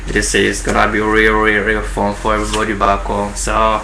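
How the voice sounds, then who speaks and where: A man's voice: lowish voice, completely flat delivery, normal speed, creaky, roomy sound, background noise. A man with a Nigerian accent hosting his own live online channel, talking casually, often repeating words and dropping in Yoruba phrases. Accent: Nigerian English. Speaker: host of a livestream channel. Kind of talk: casual live stream monologue.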